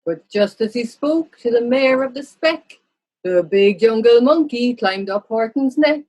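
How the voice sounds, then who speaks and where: Husky woman narrating a children's story. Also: on the high side, expressive, unhurried, a little mellow.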